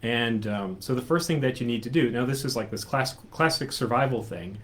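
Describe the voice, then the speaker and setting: A man's voice: mid-range voice, fairly quick, fairly bright tone. A man co-hosting a casual chat, telling a personal story in a reflective, rambling way, often adding "right?". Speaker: music teacher, co-host.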